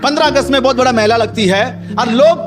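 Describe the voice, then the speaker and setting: A man's voice: very high-pitched, fast. A man preaching a Pentecostal sermon as pastor, exhorting his listeners with repeated phrases and prompting them to respond. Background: music.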